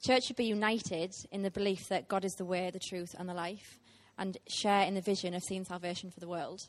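A woman's voice: lowish voice, steady tone, brisk. A woman, preaching a heartfelt sermon to a church with frequent ums. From Teesside, England. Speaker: guest preacher.

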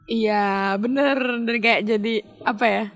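A woman's voice: mid-range voice, slow pace, soft background music. A young woman from Yogyakarta with a light Javanese-influenced Indonesian accent, co-hosting a podcast in a playful, teasing way. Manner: playful, teasing, laughs often. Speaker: podcast co-host. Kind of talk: casual podcast chat.

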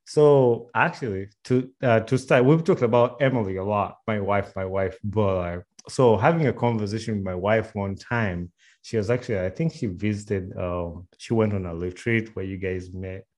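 A man's voice: lowish voice, normal speed, darkish tone. A man with a Ugandan accent hosting a casual podcast interview, chatty and friendly, often repeating his words. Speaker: podcast host.

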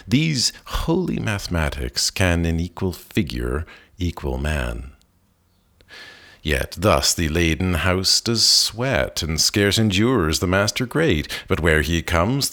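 A man with a very deep voice reads verse aloud at an unhurried pace. His delivery rises and falls expressively from line to line. He reads deliberately and now and then stops to correct a word. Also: fairly bright tone. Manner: deliberate, with occasional self-corrections. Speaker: poetry reader. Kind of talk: slow measured poetry reading.

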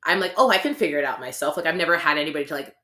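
A woman's voice: sits low, lively intonation, very fast, sharp tone. A young adult woman co-hosting a podcast, chatting casually and rambling with lots of "like" fillers. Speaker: podcast co-host.

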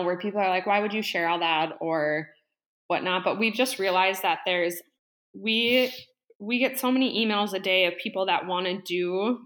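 A woman guesting on a podcast, chatting casually and rambling with lots of fillers and quick laughs. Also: medium pitch, fairly bright tone. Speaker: podcast guest, interior design business owner. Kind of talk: casual interview chat.

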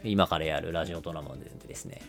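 A man's voice: extremely low pitch, steady tone, racing, a bit bright, faint music behind. A man hosting a podcast, explaining and narrating in a polite, casual way. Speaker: podcast host.

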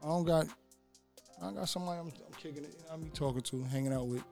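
Male podcast guest, slightly high voice, rapid slangy chat, repetitive phrasing, soft music behind. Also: vocal fry. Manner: slangy, repeats himself. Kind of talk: casual chat. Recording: music under the talk.

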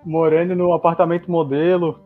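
A man's voice: a little high, flat delivery, fairly quick. A man hosting casual podcast chat, prompting the others to tell their stories. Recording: slightly dull sound with faint music.

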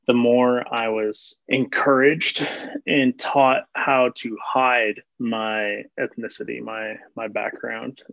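A man's voice: medium pitch, a bit bright, no echo. A young man speaking as a panel guest, earnest and open about personal things. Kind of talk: casual reflective discussion. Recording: dull dry recording.

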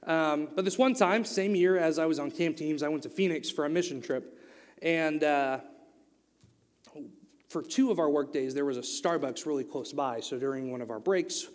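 Young man, Oklahoma accent, youth sermon, fairly high voice, long hall reverb. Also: normal speed, darkish tone. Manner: casual storytelling, then emphatic preaching. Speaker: youth speaker.

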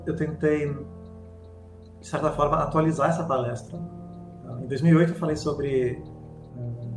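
Thoughtful man in a roomy space. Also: medium pitch. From Sweden. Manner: thoughtful, halting, many restarts and fillers. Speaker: guest, futurist. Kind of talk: conversational interview answer. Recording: roomy sound with music underneath.

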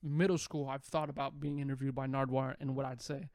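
A man's voice: mid-range voice, flat delivery, fairly quick, a little mellow, dry recording, clean studio sound. A young man, a rapper, chatting casually on a podcast. He rambles loosely and swears a lot.

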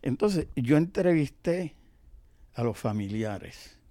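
An older Puerto Rican man, a professor being interviewed, telling history conversationally and haltingly, often pausing to search for words. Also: mid-range voice, lively intonation, dark tone, no echo. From Puerto Rico. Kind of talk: conversational oral history storytelling.

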